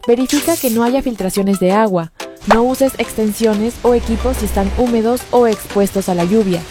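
A woman reading a scripted safety announcement in a calm, instructive way. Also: fairly high pitch, normal speed. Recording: music bed behind.

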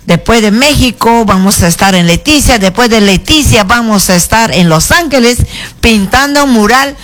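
A woman from Peru whose first language is Shipibo, speaking Spanish with a Shipibo accent as a guest in a radio interview. She talks in an earnest, halting way, with many restarts and "¿no?" tags. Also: a little high, lively intonation, faint music behind. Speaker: artisan association president, guest.

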